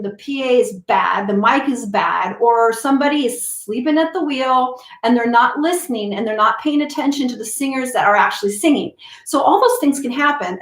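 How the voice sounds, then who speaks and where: Woman voice coach, higher voice, talks in a chatty explaining way, echoey room. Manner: chatty, explains with repeats and restarts. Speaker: voice teacher. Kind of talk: casual teaching talk to camera.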